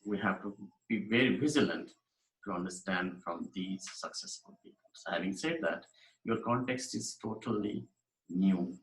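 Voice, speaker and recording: A man's voice: on the low side, echoey room, rough recording. A man from Nepal giving a recorded university lecture over slides, earnest and emphatic, often restarting and repeating phrases.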